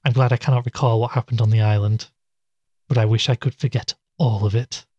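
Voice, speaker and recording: An actor playing a weary, subdued male investigator, recounting a mystery in a measured, reflective audio drama monologue. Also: medium pitch, fairly even intonation, mellow, breathy edge, no echo, muffled recording, lightly acted. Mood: subdued, resigned. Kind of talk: audio drama monologue, recorded-log narration.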